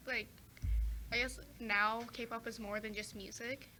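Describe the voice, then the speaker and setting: Teen girl guest, high and bright, chatty, lots of fillers, faint noise in the background. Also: fairly even intonation, a little slow, poor audio. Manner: chatty, many fillers and restarts. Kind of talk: casual chat.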